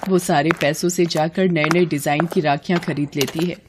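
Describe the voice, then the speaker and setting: A woman's voice: low voice, fairly even intonation, normal speed, background noise. A woman reading scripted narration for a cartoon story as the storyteller.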